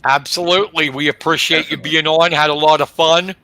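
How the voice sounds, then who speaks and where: A man's voice: fairly high pitch, steady tone, a little fast, sharp tone, slight background noise. A man, co-hosting a sports talk show in a friendly, teasing way. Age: 50s. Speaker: co-host.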